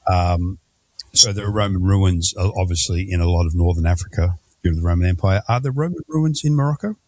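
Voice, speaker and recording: Mellow-voiced podcast host man. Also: low voice, brisk, slight background noise. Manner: conversational, asks questions. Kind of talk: casual interview chat.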